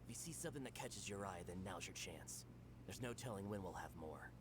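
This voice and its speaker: A small-time smuggler in a fantasy video game, evasive and easily cowed. His pitch jumps around a lot. There is clear rumbling ambience behind him. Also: animated, brisk, background noise. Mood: furtive.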